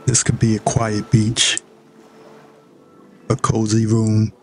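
A man narrating a scripted guided meditation in a measured, instructional way with long pauses between phrases. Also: on the low side, completely flat delivery, soft background music.

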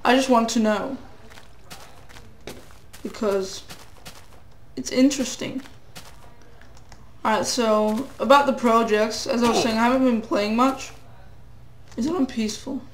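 A man's voice: very high voice, steady tone, unhurried, pauses often, roomy sound, a little hiss or hum, rough recording. A teenage boy with a cold giving rambling, self-deprecating commentary while playing a game. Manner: rambling, self-deprecating, sing-song asides. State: a cold, voice getting hoarse. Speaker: gamer.

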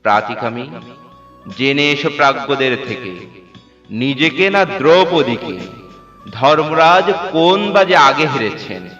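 A man's voice: mid-range voice, expressive, measured pace, a bit bright. A man voicing a wronged, angry epic queen in a theatrical, declamatory poetry recitation. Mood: bitter, questioning. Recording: echoey reverberant hall sound, dull, with music.

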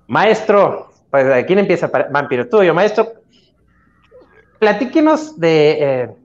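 A middle-aged man with a Mexican accent hosting a live interview over a video call, speaking somewhat haltingly. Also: on the high side, sing-song. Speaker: talk show co-host. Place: indoor room at home, video call. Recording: dull lo-fi video call audio.

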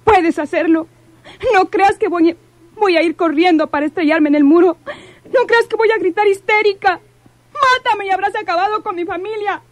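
A woman's voice: extremely high pitch, moderate pace, dry recording. An actress playing a defiant, anguished woman in a melodramatic radio drama. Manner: melodramatic, emotional. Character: defiant, anguished woman facing her sister's killer.

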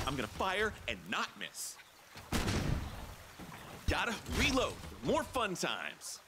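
A man voicing a cocky, excitable, goofy monster hunter in a video game, hammy and boastful. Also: very high voice, exaggerated voice. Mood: triumphant. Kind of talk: video game combat voicelines. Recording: game sound effects behind voice.

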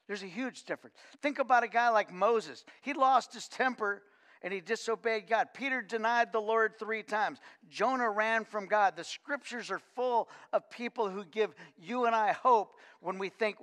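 Older male pastor, high voice, earnest sermon, repeats for emphasis, reverberant sanctuary. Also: a bit bright. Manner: earnest, repeats words when stressing a point. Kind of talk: sermon with scripture reading. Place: church stage with lectern. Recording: church hall reverb.

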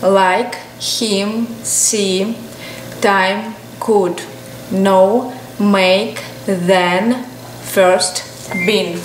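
A woman's voice: medium pitch, steady tone, extremely slow, reverberant, slight background noise, poor audio. A woman in her thirties with a Russian accent, chatting candidly as a tutor and parent, then reading English words one by one into a webcam at her desk. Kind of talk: casual vlog-style lesson, reading word lists. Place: small indoor room.

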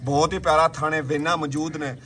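Male farm-sale host, high and bright, persuasive patter, faint noise behind. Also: steady tone. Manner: brisk, persuasive seller's patter, repeating prices and praise. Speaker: livestock sale video host. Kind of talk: casual sales commentary. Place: outdoor dairy farmyard.

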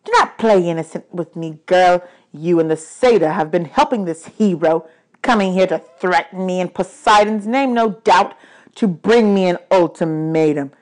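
A woman acts out an angry male god of the dead from a storybook. He is imperious and aggrieved, ranting at mortals with heavy, drawn-out emphasis on his words. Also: on the low side, a little mellow, lightly acted. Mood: accusing.

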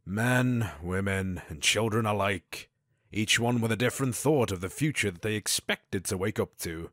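An actor playing a cultured, commanding gang boss in an audio drama, speaking in a measured, formal, theatrical way. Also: male voice, on the low side, a bit bright, dry recording, clean studio sound, natural voice. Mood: smugly pleased.

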